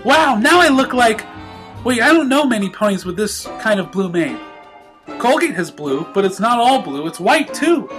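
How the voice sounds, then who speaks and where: A man performs a hyperactive young mare from a cartoon. The voice is pushed very high and bounces around in pitch. It chatters in a naive, childlike gush, with soft music behind. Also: very animated, moderate pace. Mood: amazed. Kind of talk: fan fiction story reading.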